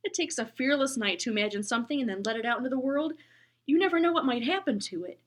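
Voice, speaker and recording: A woman voicing a big male bus driver who speaks in a loud, lofty, gallant manner, in a storybook reading. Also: sits high, animated, quick pace, voice pushed up. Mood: encouraging. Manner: bellowing, courtly and lofty speech.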